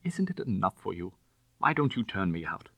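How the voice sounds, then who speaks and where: A man voicing a bitter, proud young gentleman who is ruined and desperate, speaking formally and emotionally in an audiobook story. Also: low voice, big pitch swings, moderate pace, creaky, no echo, dull audio, full character voice. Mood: despairing. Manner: emotional, self-reproaching, formal.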